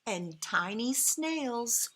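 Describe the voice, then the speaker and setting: A woman reading a picture book aloud to young children, outdoors, with dramatic pauses, emphasized key words and gentle asides to her listeners. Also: fairly high pitch, lively intonation. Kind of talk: expressive children's read-aloud.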